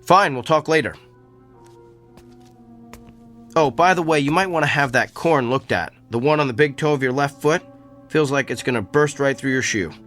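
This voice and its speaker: A man's voice: mid-range voice, fairly quick, a bit bright, faint music behind, lightly acted. An actor in a radio drama playing an earnest male doctor with an uncanny gift for diagnosis. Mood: wry, confident.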